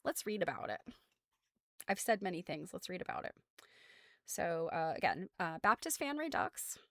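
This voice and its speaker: A woman's voice: lowish voice, wildly swooping pitch, moderate pace, gravelly, dry recording, studio quality. An American woman hosting a casual craft livestream, explaining things in an informal, rambling way full of trailing asides. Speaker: host of a craft show. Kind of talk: casual livestream chat about quilts.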